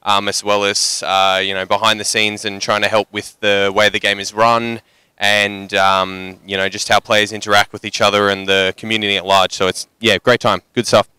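A man's voice: on the low side, flat delivery, normal speed, sharp tone, clean tone, no room sound. A young man answering interview questions outdoors into a handheld mic, earnest with some fillers. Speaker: interviewee, larp team leader. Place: outdoors at a convention.

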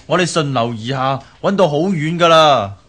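A man's voice: a little high, expressive, moderate pace, fairly bright tone. An actor playing an earnest hired searcher reporting to his master in a radio drama, with a Hong Kong Cantonese accent.

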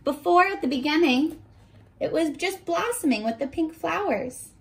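A woman in her twenties reading aloud and teaching young children in an animated, encouraging way, pausing to ask questions, recorded at arm's length indoors. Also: high voice. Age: 20s. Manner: animated, encouraging, asks questions and pauses for answers. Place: small furnished bedroom, indoors.

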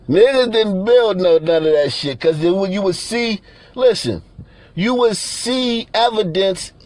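Nigeria-born guy, loud blunt rant, keeps repeating himself and laughing, high-ish voice. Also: no echo. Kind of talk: casual ranting commentary over pictures.

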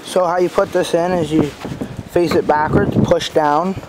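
A man giving a casual, unscripted walkthrough as an amateur reviewer, talking in a halting, informal way outdoors on a handheld phone. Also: fairly high pitch, fairly even intonation. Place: outdoors in a backyard. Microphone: handheld phone camera. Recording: outdoor phone recording with clear background noise. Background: passing cars.

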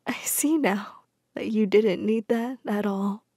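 A woman's voice: high-pitched, animated, moderate pace, no room sound, clean studio sound. A woman doing a stern older widow. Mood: weak, whispering. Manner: scolding, stern.

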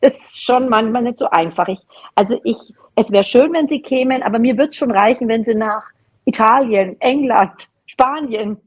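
A woman's voice: fairly high pitch, moderate pace, darkish tone, breathy edge. A woman in her fifties from Franconia, talking casually as a podcast guest on a video call. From Franconia, Germany. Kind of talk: casual interview chat. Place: room at home. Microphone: video call with wireless earbuds.

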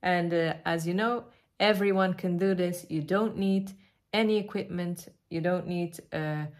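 A woman's voice: lowish voice, fairly even intonation, measured pace. A woman in her thirties from Belgium, a native Dutch speaker with a Flemish accent, chatting casually to camera and hesitantly searching for English words. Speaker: vlogger showing her artwork. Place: living room, indoors.

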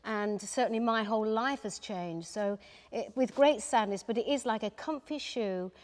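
A woman in her seventies, chatting warmly in an interview inside a car showroom and laughing often. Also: a little high, normal speed. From England. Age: 70s. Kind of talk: casual interview. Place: large car showroom, indoors. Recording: echoey showroom hall.

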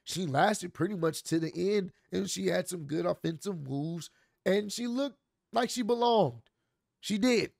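A man in his thirties with a hoarse, worn-out voice, casually recapping a wrestling show for his channel from a home studio. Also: high-pitched, measured pace, dry recording. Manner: animated, talks with his hands, loose slangy delivery. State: hoarse, voice mostly gone. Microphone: large studio mic on boom arm. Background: soft instrumental music.

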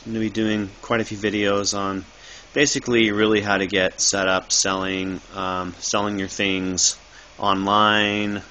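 A man giving a casual, rambling how-to talk in a halting, improvised way, recorded on a handheld phone indoors. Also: lowish voice, flat delivery, bright, forceful tone. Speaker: video maker, thrift reseller. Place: cluttered dim room indoors. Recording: noisy amateur phone recording.

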